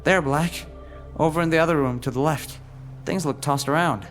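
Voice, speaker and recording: A man's voice: a little high, normal speed, soft background music, lightly acted. A man playing an earnest, cautious detective partner with dry sarcasm in a scripted audio drama. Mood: dry, focused. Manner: earnest, questioning, lightly sarcastic.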